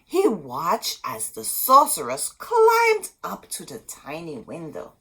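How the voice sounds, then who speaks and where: A woman storyteller reading a storybook aloud expressively, in short dramatic phrases with pauses. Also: fairly low pitch, wildly swooping pitch, bright, forceful tone, slightly rough.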